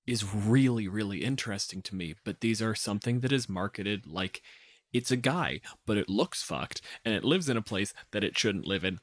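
Male podcast panelist, lowish pitch, analytical and emphatic delivery, dry recording. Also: fairly bright tone, dry recording. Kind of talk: casual analytical discussion of tabletop game monsters.

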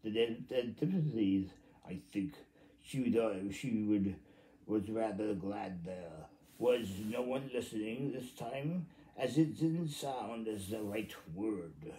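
Deep-voiced middle-aged man reading haltingly aloud. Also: lively intonation, mellow, a little hiss or hum, poor audio. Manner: halting, rambling, theatrical, stumbles over and repeats words. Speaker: amateur storybook reader. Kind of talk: reading a children's story aloud. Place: living room at home, indoors. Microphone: phone at arm's length.

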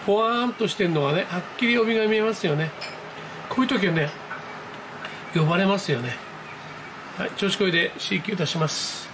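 A man giving casual, excited live commentary as a hobby vlogger, reacting aloud in exclamations as he goes. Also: high-pitched, fairly quick, long pauses, noisy background. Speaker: amateur radio operator hosting his own video. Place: indoors at a desk, filming a computer screen.

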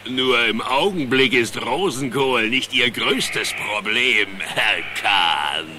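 An actor playing a bitter, sarcastic leader of captive miners, threatening, in an echoey cartoon audio play. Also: male voice, medium pitch, expressive, takes it slowly, noisy background. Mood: sarcastic menace. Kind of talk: cartoon radio play acting in German. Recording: echoey cavernous reverb.

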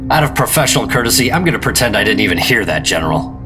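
Man acting an animated, earnest secret agent. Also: fairly low pitch, racing, over loud music. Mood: dutiful, dry. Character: earnest, excitable male government secret agent telling his story. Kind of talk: old-time radio drama narration and acting.

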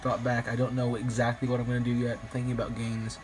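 A slightly raspy young man, a bit sick, commentating his online game battle in a rambling, hesitant way with many ums. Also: medium pitch, monotone, fast talker, low-quality recording. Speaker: gaming YouTuber. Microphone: phone filming a screen. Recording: music playing behind.

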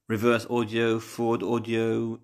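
A man casually demonstrating an app, talking in a deliberate, polite way. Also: mid-range voice, completely flat delivery, darkish tone. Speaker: app tester running a ghost box session.

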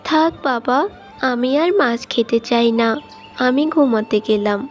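A woman's voice: fairly high pitch, unhurried, faint music behind, close to an everyday voice. A woman voicing a sweet, dutiful young daughter in a village cartoon, with an earnest, childlike manner. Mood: sad, worried. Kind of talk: Bengali moral-tale cartoon dub.

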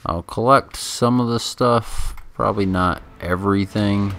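A man giving casual, laid-back video game commentary in a halting, chatty way, close to the microphone. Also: fairly low pitch, a bit bright, a little hiss or hum. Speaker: gameplay commentator. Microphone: microphone held very close. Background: game music.

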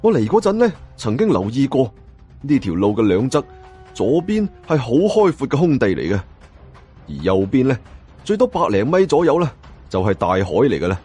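A man's voice: medium pitch, sing-song, moderate pace, warm tone, husky, soft background music. A man with a Hong Kong Cantonese accent narrating a suspense novel as a dramatic audiobook storyteller.

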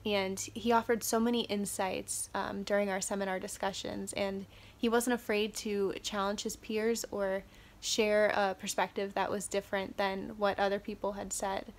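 A woman teacher in her thirties speaks earnestly and steadily, her pitch staying fairly even. She pauses often with small fillers as she gives a heartfelt video message from home. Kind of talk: recorded video tribute. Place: living room at home.

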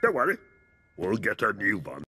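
A man's voice: fairly low pitch, huge pitch swings, normal speed, slight background noise, full character voice. A mumbling, childlike grown man. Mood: puzzled. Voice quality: mumbling, nasal grunts. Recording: cartoon soundtrack with loud music.

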